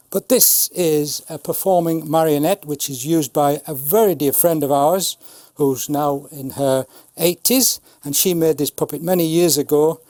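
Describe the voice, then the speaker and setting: High-voiced mellow man in his seventies. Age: 70s. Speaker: retired Punch and Judy puppeteer. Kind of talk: explanatory talk about puppetry.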